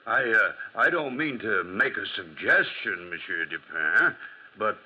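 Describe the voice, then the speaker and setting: Husky, blustering, pompous radio gendarme. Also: male voice, on the low side, big pitch swings, unhurried, full character voice. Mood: insinuating. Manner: officious, blustering, hesitant when challenged. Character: pompous, foolish, accusing French gendarme. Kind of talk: 1940s radio drama acting. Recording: muffled 1940s radio recording.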